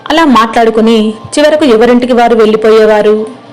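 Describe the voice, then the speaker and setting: A woman's voice: fairly high pitch, brisk. A woman storyteller reading scripted narration for a moral tale.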